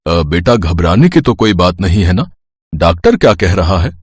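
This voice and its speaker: A man voicing an easygoing elderly father-in-law in a moral story, talking in an unhurried, placating way. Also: sits low, big pitch swings, husky, dry recording, clean studio sound, exaggerated voice. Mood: concerned. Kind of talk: scripted Hindi moral-story cartoon voice.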